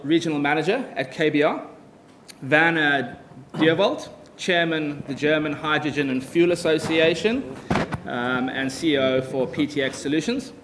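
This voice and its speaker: A man's voice: fairly high pitch, fairly bright tone, slight background noise. A man from South Africa moderating a live conference panel, talking hesitantly with many fillers and restarts. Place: conference stage.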